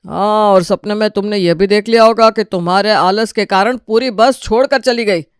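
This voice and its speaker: Man acting a sarcastic family dad, clear voice. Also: high voice, normal speed, no room sound, studio quality, close to an everyday voice. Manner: sarcastic, nagging. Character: bossy, sarcastic middle-aged husband and father. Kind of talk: Hindi animated family story acting.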